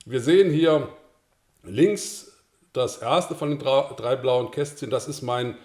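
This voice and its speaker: An older German-speaking man talks through a screencast lesson at his desk, calm and explanatory, in a mid-range voice. The small room around his webcam adds a noticeable echo. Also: mid-range voice, slow pace. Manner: calm, explanatory, with occasional filler sounds. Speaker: course instructor. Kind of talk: screencast tutorial.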